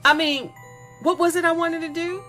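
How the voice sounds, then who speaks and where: A woman's voice: very high voice, fairly even intonation, normal speed, bright, forceful tone. A woman playing a gleefully murderous college-age woman who taunts her captive in a chatty, mocking dramatic monologue for a horror audio story. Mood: gleeful menace. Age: young adult. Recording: music behind the voice.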